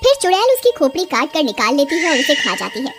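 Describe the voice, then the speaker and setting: A woman's voice: high voice, sing-song, fast talker. A woman narrating a scripted story as storyteller. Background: music.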